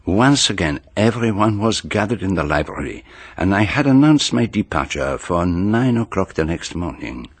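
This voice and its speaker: A courteous, shrewd detective with a French accent in a radio mystery. His voice is low and slightly rough, rising and falling freely as he speaks in formal, carefully measured sentences. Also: very animated, no room sound, heavily acted. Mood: reflective. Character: courteous, shrewd foreign male detective narrating his case. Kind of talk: radio drama acting and first-person narration.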